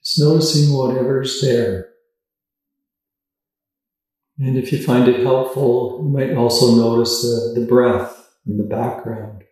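Male meditation guide, even and very slow, gentle delivery with long pauses, recorded in a heavily echoing space. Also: medium pitch. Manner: gentle, unhurried, with long pauses between phrases. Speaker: meditation teacher. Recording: very echoey room, distant from mic.

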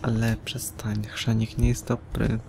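A man casually chatting while playing a game with a friend, teasing and saying "lol" out loud. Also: lowish voice, monotone, measured pace, gravelly, noisy background. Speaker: co-player. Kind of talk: casual let's-play chat.

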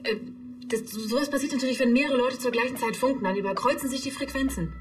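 An actress playing a placating police radio dispatcher in a TV crime comedy. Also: female voice, a little high, fast, close to an everyday voice. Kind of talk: German TV crime comedy acting.

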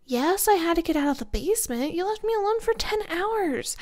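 A woman playing an easygoing, playful young kidnap captive who loves gaming, speaking softly and casually in an ASMR roleplay audio drama. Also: very high voice, lively intonation, fairly quick. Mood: protesting. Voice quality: soft. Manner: casual, teasing, conversational.

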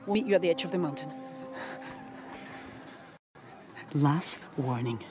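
A middle-aged woman playing a dignified, resolute rebel leader in a video game, speaking with grave, measured authority. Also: low-pitched, lively intonation, darkish tone, a little hiss or hum. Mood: stern, commanding. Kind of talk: dramatic video game acting.

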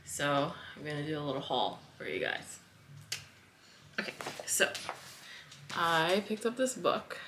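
Woman in her thirties, low-pitched, casual chatty vlog, kitchen, camera on the counter, background noise. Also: very animated, a little slow, pauses often. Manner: chatty, loose, many fillers and likes. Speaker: wellness vlogger. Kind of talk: casual grocery haul vlog. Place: home kitchen, indoors. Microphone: camera on the counter, moving in and out of frame.

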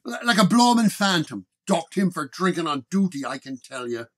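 A man voicing a hot-tempered, beefy policeman, gruff and indignant with folksy turns of phrase, in a radio horror drama. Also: fairly high pitch, sing-song, normal speed, voice pushed up. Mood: scornful. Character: florid, hot-tempered beefy Irish-American transit policeman.

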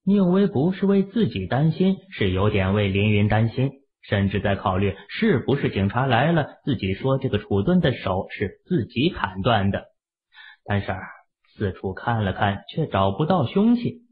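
A man's voice: on the low side, big pitch swings, moderate pace. A man narrating a novel as a scripted, dramatic audiobook reading. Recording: tinny, narrow-band phone-like audio.

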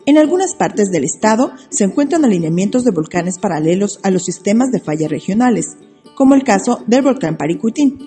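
A woman reporter reading a scripted science news piece in a measured, explanatory way. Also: mid-range voice, warm tone. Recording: reverberant voice-over with music.

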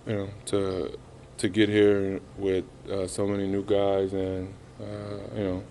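A man in his thirties answering reporters after a game, halting and subdued, with many fillers. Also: low-pitched, completely flat delivery, mellow, slight background noise. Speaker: basketball player at a postgame press conference. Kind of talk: postgame press interview. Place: arena press room, indoors. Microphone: reporters' handheld mics held close.

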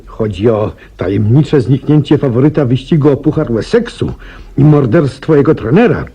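An actor playing a detective's loyal companion in 1970s radio drama style, curious and polite, questioning eagerly with small hesitant interjections. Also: male voice, mid-range voice, very animated, moderate pace, dark tone, slightly rough, exaggerated voice. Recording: dull, band-limited 1970s radio recording.

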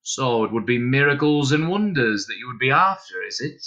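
Man playing a courteous, mysterious tall gentleman, brightly forceful. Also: medium pitch, expressive, moderate pace, dull audio. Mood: wry.

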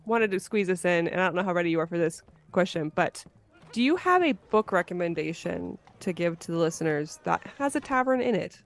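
A woman hosting a podcast in casual chat, informal and quick to laugh. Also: lowish voice, expressive. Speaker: podcast host.

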